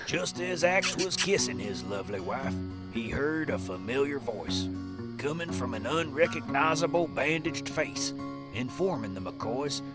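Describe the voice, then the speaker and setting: A man's voice: mid-range voice, sing-song, measured pace. A man narrating a Western tale in a folksy, dramatic storyteller manner over guitar music.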